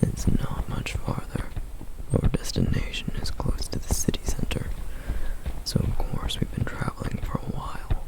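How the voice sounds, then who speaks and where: A man voicing a protective, duty-bound male flame demon in a whispered ASMR roleplay, hushed, measured and dryly sardonic. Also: close to an everyday voice, low-quality recording. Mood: guarded.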